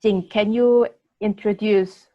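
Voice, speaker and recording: A woman interviewing a guest, asking measured questions. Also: medium pitch, steady tone. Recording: dull lo-fi audio.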